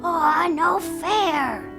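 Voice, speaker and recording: A woman's voice: very high voice, lively intonation, drawn-out words, vocal fry, over music, full character voice. A woman play-acting a whiny, protesting young child in a drama.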